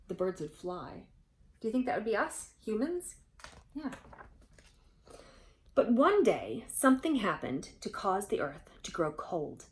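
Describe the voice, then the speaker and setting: A woman reads a picture book aloud for young children. She tells the story expressively, in an animated manner, and often turns to her listeners with a question. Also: mid-range voice.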